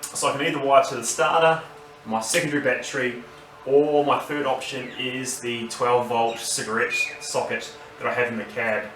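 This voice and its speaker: An echoey recording, picked up at some distance from the mic with clear background noise. An Australian man talks through gear in a casual vlog review. His delivery is unscripted and halting, as if thinking aloud. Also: medium pitch, steady tone, a bit bright. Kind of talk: casual unboxing and review talk.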